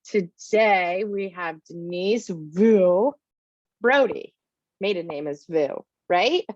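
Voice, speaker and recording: A woman hosting a podcast who chats casually and reacts with loud exclamations. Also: on the low side, expressive, takes it slowly, dry recording, highs cut off. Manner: chatty and exclamatory. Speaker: podcast host. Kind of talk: casual interview.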